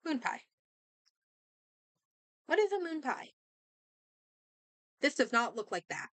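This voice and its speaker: A woman streamer chatting casually and thinking aloud in an expressive way as she comments on a game. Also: high-pitched, takes it slowly, no room sound.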